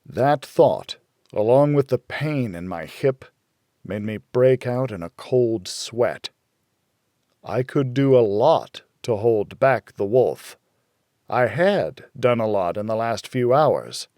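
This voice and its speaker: A man reading a hardboiled first-person thriller as an audiobook narrator, in a measured, dramatic storytelling way. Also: medium pitch, animated, mellow, no echo, studio quality.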